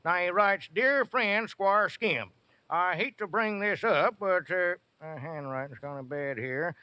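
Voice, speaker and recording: An actor playing a wily, talkative small-town squire in an old radio comedy. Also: male voice, sits high, measured pace, clean tone, dry recording, lo-fi sound. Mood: puzzled. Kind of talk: 1940s radio comedy acting.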